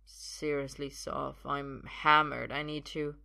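A woman voicing a drunk, drugged young man in an audiobook, confused and halting, with slurred words. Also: deep voice, little pitch movement, creaky, pushed and bright, voice pushed down, exaggerated voice. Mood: tipsy. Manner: halting, stammering.

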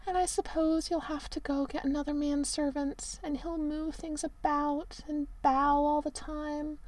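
A woman's voice: sits high, flat delivery, a little slow, darkish tone, pitched above the performer's own voice, low-quality recording. A woman voicing a melodramatic, self-pitying young male servant, whining mournfully. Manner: mournful, overly dramatic whining.

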